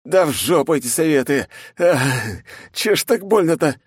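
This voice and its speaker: A crude, irritable young male hero, read by the man narrating, cursing and snapping, his voice jumping around in pitch. it sounds close and dry, with no room sound. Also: animated, moderate pace, dry recording. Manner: swearing, gruff, in pain. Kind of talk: audiobook character voice.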